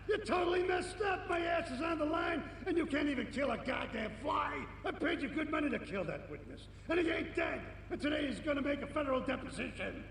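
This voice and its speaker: Crooked game cop: high, swooping gravelly voice, agitated and conspiratorial, streetwise, scheming. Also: male voice, a bit bright, full character voice. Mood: furious, panicked. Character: jittery corrupt middle-aged cop, scheming and foul-tempered. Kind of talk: video game cutscene acting. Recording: roomy, distant, faint noise.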